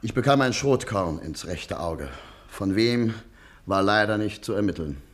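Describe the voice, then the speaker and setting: A shifty, obsequious informer in a radio drama. The low voice jumps about in pitch, clipped and deferential, always eager to please his superior. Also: animated, unhurried. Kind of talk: German radio play acting.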